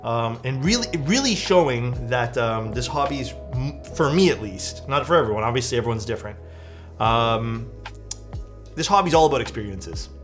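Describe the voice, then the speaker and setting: A young man, chatting casually as a livestream host, excitable and rambling with lots of "like" and "dude". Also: medium pitch, moderate pace. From Canada. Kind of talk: casual livestream chat, reading chat messages. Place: his room at home. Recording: speech over background music. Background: background music.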